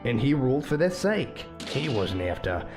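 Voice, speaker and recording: A man's voice: medium pitch, big pitch swings, normal speed, over music, close to an everyday voice. A man playing a loyal, reasonable defense advocate in a visual novel, speaking persuasively and plainly.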